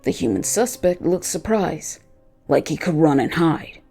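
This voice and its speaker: Narrator as a loyal, vengeful dog, gravelly and mellow. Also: medium pitch, measured pace, faint music behind. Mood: angry, vengeful. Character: vengeful, loyal pet dog telling his own story. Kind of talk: first-person dramatic scripted narration.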